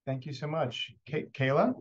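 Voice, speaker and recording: A man's voice: a little high, lively intonation, normal speed. Polite man host on a muffled call. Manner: brief, polite, calling names. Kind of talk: moderating introductions on a video call. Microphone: video call. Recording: muffled video call audio.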